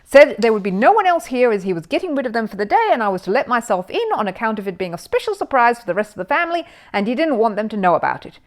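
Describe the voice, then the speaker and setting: Woman as male workman, cheery, chatty, informal, children's storybook, animated intonation. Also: on the high side, fast talker, few pauses, voice pushed up. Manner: chatty, informal working man's talk. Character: cheerful chatty male television repairman in overalls.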